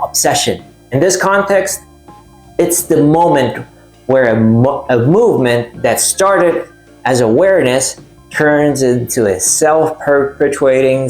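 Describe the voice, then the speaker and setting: Man, essay narrator, measured and wry, roomy sound, faint music under him. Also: a little high, darkish tone. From Puerto Rico. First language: Spanish. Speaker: video essayist. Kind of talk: reflective video essay narration.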